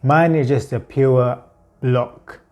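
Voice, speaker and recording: A man who stutters, talking about his experiences with long blocks, halting pauses and repeated words. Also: medium pitch, fairly even intonation, a little mellow. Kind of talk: personal talk to camera.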